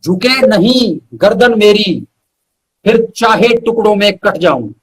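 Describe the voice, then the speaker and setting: A man's voice: sits high, animated, measured pace, slightly rough, poor audio. A man, a poet being interviewed, speaking earnestly and reciting with emphatic repetition. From India.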